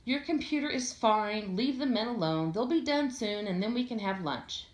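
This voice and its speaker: In an echoey room, a woman reader acts out a young mother from a storybook. She sounds firm and brisk yet placating, with a slightly lifted pitch. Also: expressive, lightly acted.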